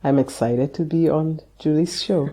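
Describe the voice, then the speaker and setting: Interview guest: woman from Ghana, mellow tone, reflective, laughs easily. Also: sits low, moderate pace. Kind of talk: casual interview chat.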